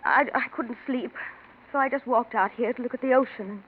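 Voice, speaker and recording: A woman's voice: fairly high pitch, a little fast, a little hiss or hum, lightly acted. An actress playing a genteel, anxious, grieving woman in a 1940s radio drama. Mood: wistful, hesitant. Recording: muffled old radio recording, loud background.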